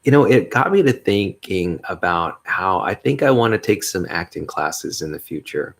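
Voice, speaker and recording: A man hosting a solo podcast, talking in a mellow bass voice, upbeat and rambling, with frequent chuckles. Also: animated, moderate pace, vocal fry. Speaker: podcast host. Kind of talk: casual solo podcast monologue.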